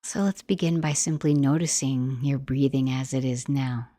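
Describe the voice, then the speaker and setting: A woman guiding a meditation in a gentle, soothing, unhurried manner, like soft instructional narration. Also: very deep voice, fairly even intonation, no echo, studio quality. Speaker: meditation guide.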